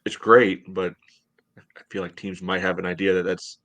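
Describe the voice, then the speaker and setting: A man's voice: low voice, level tone, normal speed, a bit bright. A middle-aged American man co-hosting a podcast, casually analyzing sports from home over a video call. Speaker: podcast co-host.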